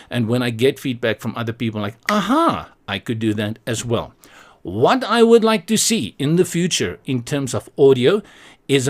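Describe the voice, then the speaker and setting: A man narrates a software tutorial as its host. His pitch sits in the middle range for a male voice. He explains things conversationally, adding casual asides as he goes.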